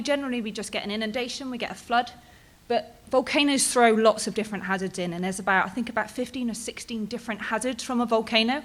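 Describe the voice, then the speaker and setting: British woman in her 30s, fairly high voice, relaxed conference presenter, chatty with filler words. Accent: British English. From England, Bristol. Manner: casual and chatty, frequent ums and tag questions. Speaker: postdoctoral researcher presenting.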